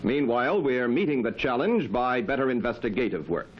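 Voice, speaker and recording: A man speaking as a police chief, giving a formal, measured, official statement. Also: mid-range voice, expressive.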